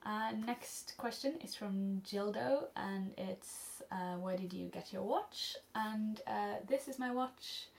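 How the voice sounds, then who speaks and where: A teenage girl from Norway speaks English with a Norwegian accent, her mid-pitched voice full of vocal fry. She rambles through viewer questions in a casual vlog, with plenty of ums and little laughs. She is recorded up close on a camera at home. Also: slow pace, hardly any pauses. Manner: rambling and chatty, many ums and restarts, laughs often. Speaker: studyblr vlogger answering questions.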